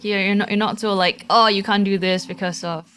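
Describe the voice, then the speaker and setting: A woman's voice: medium pitch, fairly even intonation, a little fast, a bit bright, slight background noise. A young woman from Singapore with a Singaporean English accent, a guest on a live podcast, talking casually with many fillers and restarts. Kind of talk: casual chat. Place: live podcast in a small London venue.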